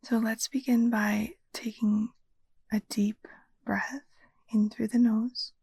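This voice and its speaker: Slow, breathy woman guiding meditation. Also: fairly high pitch, little pitch movement, no echo. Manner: soft, breathy near-whisper, soothing. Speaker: yoga nidra meditation teacher. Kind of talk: guided meditation narration.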